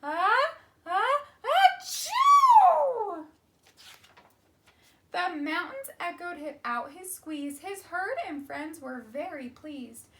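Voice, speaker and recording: A woman teacher reading a children's storybook aloud in an expressive, emphatic way. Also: high-pitched, measured pace, hardly any pauses, slight background noise.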